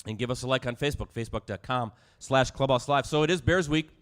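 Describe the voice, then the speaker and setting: A man hosting a live sports talk show in a bar, hoarse and squeaky tonight, hyping the crowd with energy. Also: quick pace. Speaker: show host.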